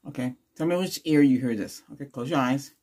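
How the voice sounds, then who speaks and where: A man's voice: medium pitch, fairly quick, noisy background. An older man doing an ASMR doctor roleplay, giving short instructions and asking brief questions as in an exam. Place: small indoor room with cloth backdrop.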